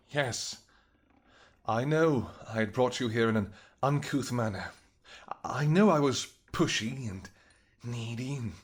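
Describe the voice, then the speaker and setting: A man playing a devoted vampire king in an intimate audio roleplay, speaking earnestly and gently in halting, formal, old-fashioned words. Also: medium pitch, natural voice. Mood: remorseful. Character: devoted vampire king, tender, anxious, apologetic husband.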